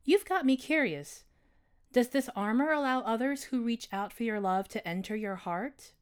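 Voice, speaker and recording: Brief: wise female owl, high and unhurried, probing gently, voiced by a male narrator. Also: expressive, smooth. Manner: soft, questioning, unhurried.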